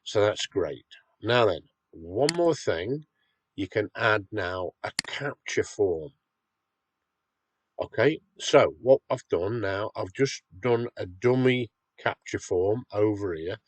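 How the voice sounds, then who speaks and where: A man's voice: on the low side, animated, slow, slight background noise. A man with a cold, sounding slightly nasal, walking viewers step by step through a website tool in a screen-recorded tutorial. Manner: patient, step-by-step, checks in with "okay". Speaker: website owner demonstrating a tool.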